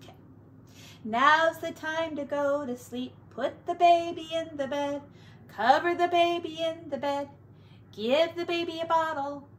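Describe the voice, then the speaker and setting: Slow, high-voiced woman reading to young children. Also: a little hiss or hum. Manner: expressive, drawn-out words, hushed asides. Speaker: teacher. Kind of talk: read-aloud and sing-song play for young children.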